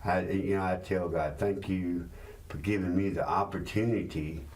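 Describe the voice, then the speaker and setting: A man, talking reflectively and haltingly in an interview. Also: low-pitched, steady tone, a little mellow. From South Carolina, United States. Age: late 60s. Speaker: musician being interviewed.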